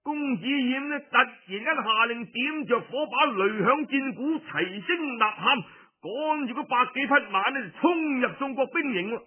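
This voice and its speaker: A man with a Cantonese accent telling historical tales in a dramatic, colloquial and expressive storytelling style. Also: very high-pitched. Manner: colloquial, expressive narration with frequent drawn-out particles. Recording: muffled phone-like radio recording.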